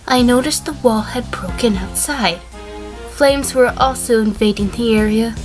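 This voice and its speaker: A woman playing a cool, guarded sheep defendant testifying deliberately in a fan audio drama. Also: fairly high pitch, lightly acted, rough recording. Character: female sheep defendant, cool, guarded former assistant mayor.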